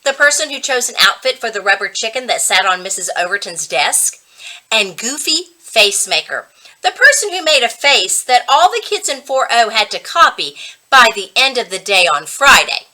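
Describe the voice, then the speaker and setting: Bright, animated woman reading a storybook. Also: fairly high pitch. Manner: dramatic pauses, drawn-out emphasis on key words. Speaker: reading coach reading a children's book aloud. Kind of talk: expressive storybook read-aloud narration.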